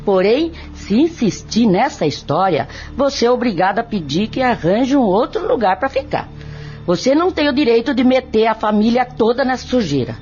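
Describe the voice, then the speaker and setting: A woman's voice: fairly high pitch, very animated, moderate pace, a little mellow, muffled recording, faint music behind. A Brazilian woman playing a stern, protective sister who speaks insistently in an audio drama. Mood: stern, fearful. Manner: insistent, emphatic. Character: stern, protective sister, a seamstress warning her brother. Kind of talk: audio drama acting in Portuguese.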